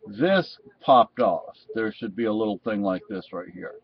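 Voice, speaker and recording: A man giving a casual, unscripted product review in a halting, rambling way. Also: fairly low pitch, animated, husky. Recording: dull, muffled lo-fi sound.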